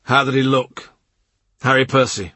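A man voicing a calm, calculating male statesman asking short, measured questions in an audiobook. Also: medium pitch, no echo. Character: cool, calculating male statesman, quietly vengeful.

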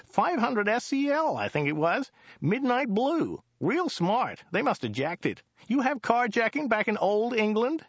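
A man's voice: sits high, very animated, measured pace, slightly rough, no room sound, lo-fi sound, voice pushed up, heavily acted. A man voicing a talkative, oblivious driver from California in an audiobook, nasal, whining and repetitive. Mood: blithe, chatty. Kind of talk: audiobook character voice.

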